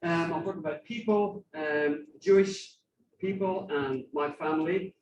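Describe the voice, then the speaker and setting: Higher-voiced Irish man in a roomy recording. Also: unhurried. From Ireland, grew up in Dublin. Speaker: guest lecturer. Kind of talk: informal lecture. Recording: roomy, distant mic with faint noise.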